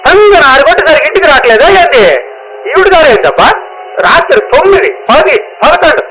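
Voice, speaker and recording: A man's voice: very high-pitched, animated, fairly quick, poor audio. An actor playing a grumbling elderly father with a rustic regional Telugu accent in a radio drama, indignant and blustering. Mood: grumbling, scornful.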